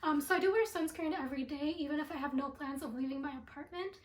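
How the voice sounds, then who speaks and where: A young woman with a voice raspy from allergies, chatting through a beauty product review with lots of ums and likes. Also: high-pitched, fast talker. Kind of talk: casual product review vlog. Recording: echoey room.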